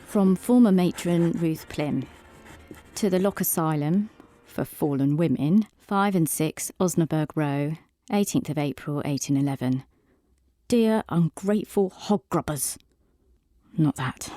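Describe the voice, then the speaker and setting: A proud, bitter older matron in a radio drama. Her lowish voice delivers a petition letter with formal, aggrieved dignity. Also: measured pace, dark tone. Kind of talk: audio drama monologue, letter.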